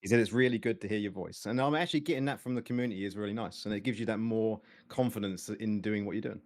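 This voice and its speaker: A man's voice: fairly low pitch, fast. A man with a British accent, a guest on a casual livestream, speaking in an upbeat and agreeable way. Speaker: guest content creator.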